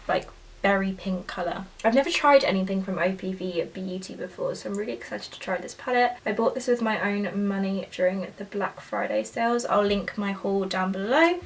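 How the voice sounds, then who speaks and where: A woman's voice: mid-range voice, moderate pace, gravelly, far from the mic. A young woman chatting casually and enthusiastically through a makeup tutorial, full of "like" and "so". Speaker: beauty vlogger.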